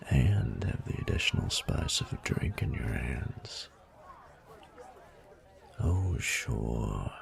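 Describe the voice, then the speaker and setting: Man acting a rumbling, gravelly, cocky bully. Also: heavily acted. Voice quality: very deep, gravelly, breathy murmur. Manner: slow, drawling, taunting. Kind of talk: scripted audio roleplay monologue.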